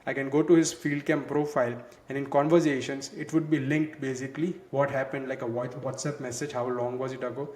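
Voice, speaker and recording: Man presenting a software demo, echoey room. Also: mid-range voice, flat delivery, fairly quick, hardly any pauses. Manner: explanatory, conversational, repeats filler words like "ultimately" and "basically". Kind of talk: walkthrough demo narration. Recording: echoey, roomy sound.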